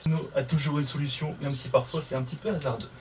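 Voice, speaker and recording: A man's voice: on the high side, steady tone, fast talker, darkish tone. A man chatting casually to camera as a vlogger doing a hands-on tutorial, often muttering to himself as he works through the steps. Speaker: motorcycle vlogger. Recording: distant mic, clear background noise.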